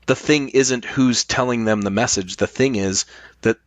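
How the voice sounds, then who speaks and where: A man's voice: medium pitch, moderate pace, no room sound. A middle-aged man talking casually as a podcast guest, explaining his points with emphasis.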